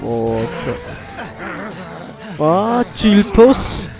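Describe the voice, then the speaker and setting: A man's voice: fairly high pitch, big pitch swings, drawn-out words. A man with a Filipino accent giving casual, joking, slangy reactions as he streams a video game. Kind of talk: casual gameplay commentary.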